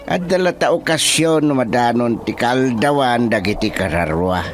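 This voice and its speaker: A man playing an excitable village chairman in an Ilocano radio comedy, exclaiming often in an Ilocano accent. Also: mid-range voice, normal speed, a little hiss or hum, lightly acted. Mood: chatty.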